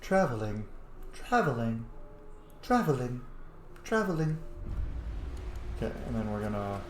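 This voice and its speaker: A man giving casual, chatty, sweary commentary as he plays a video game, thinking out loud. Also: mid-range voice, very animated, unhurried. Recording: echoey room, faint background noise.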